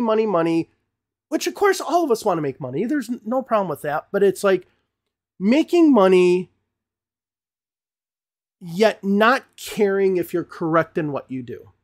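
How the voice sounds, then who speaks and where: A man's voice: sits high, big pitch swings, moderate pace, darkish tone. A middle-aged man, host of his own channel, gives an emphatic, opinionated commentary to camera from a small home studio, often repeating words as he gets worked up. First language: English. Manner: gestures broadly with hands while talking. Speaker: English teacher and channel host. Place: small home studio room with bookshelves, indoors. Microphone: podcast mic on desk in front of him.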